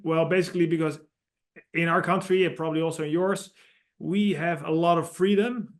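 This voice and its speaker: Dutch-accented man explaining earnestly. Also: fairly high pitch. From Netherlands. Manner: earnest, explanatory, slightly non-native phrasing. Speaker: author and guest. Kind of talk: podcast interview.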